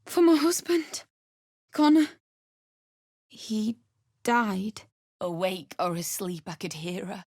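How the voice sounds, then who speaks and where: A woman's voice: mid-range voice, sing-song, slow, pauses often, a little mellow, no room sound, clean studio sound, heavily acted. A woman playing a distraught young pregnant widow in a video game, emotional and pleading, earnest and defiant in her grief. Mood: grieving, subdued.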